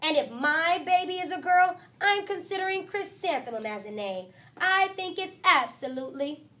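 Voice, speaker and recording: A dreamy, kind woman music teacher with a high, gentle voice, as a woman reading a storybook puts her on. She speaks softly, in a friendly way. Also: expressive, moderate pace, heavily acted.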